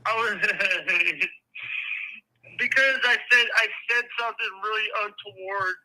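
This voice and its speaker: A man's voice: high-pitched, a little slow, bright, forceful tone. A man calling in by phone, eager and rambling, stumbling over his words. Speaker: caller. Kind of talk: phone call-in chat. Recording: tinny phone line.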